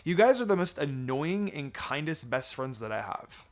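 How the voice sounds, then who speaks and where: Man voicing an excitable hot-tempered young ninja girl. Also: on the high side, normal speed. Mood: eager, affectionate.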